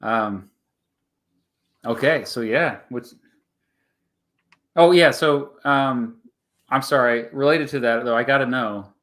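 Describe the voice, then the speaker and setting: Halting, chatty American man hosting. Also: mid-range voice, fairly bright tone. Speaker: YouTube host in a two-way interview. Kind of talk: casual unscripted chat.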